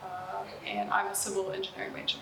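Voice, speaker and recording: Young woman athlete, earnest, flat, echoey noisy room. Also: mid-range voice, fast, gravelly. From South Carolina, US. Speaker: college basketball player. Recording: echoey room with background noise.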